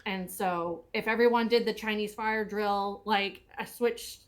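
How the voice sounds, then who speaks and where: A woman chatting in a group commentary, explaining her thoughts through drawn-out comparisons. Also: mid-range voice, fairly even intonation. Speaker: panelist in a cast and crew commentary. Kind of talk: casual group discussion.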